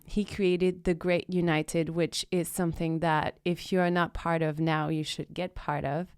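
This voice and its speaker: A woman's voice: on the low side, clean tone, no echo, clean studio sound. A woman hosting her own podcast, introducing the episode and chatting with a guest in an upbeat, emphatic way. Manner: upbeat and emphatic, repeats phrases for stress. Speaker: podcast host. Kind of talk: podcast intro and casual interview chat.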